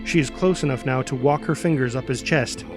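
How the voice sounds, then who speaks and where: A man's voice: mid-range voice, a little fast, music in the background. A man reading scripted action narration as the narrator.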